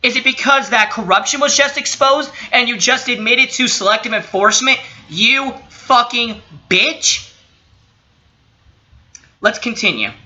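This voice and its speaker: The voice is very bright and forceful, at a middle pitch, with a dull lo-fi recording. The speaker gives off-the-cuff commentary, indignant and emphatic, with profanity thrown in. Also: unhurried. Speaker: commentator reacting to a recorded call. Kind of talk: impromptu opinionated commentary.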